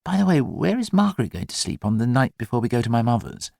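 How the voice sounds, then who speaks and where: A man's voice: medium pitch, sing-song, fast talker, creaky, dark tone, dry recording, clean studio sound. A man reading the part of a talkative, practical new father in an audiobook, dryly musing aloud. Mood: wry. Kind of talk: audiobook character dialogue.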